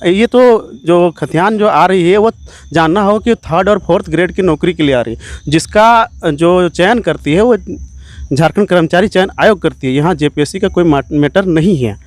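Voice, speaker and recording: A man's voice: fairly high pitch, no room sound. A man giving earnest, emphatic, repetitive political answers in an interview as an activist.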